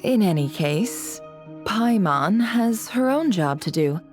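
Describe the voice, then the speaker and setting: A woman playing a shrewd, composed businesswoman in a video game, speaking in a measured, authoritative way. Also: mid-range voice, lively intonation, darkish tone, soft background music. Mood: instructive, serious. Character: shrewd, composed businesswoman leading a secret ritual. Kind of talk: video game character dialogue.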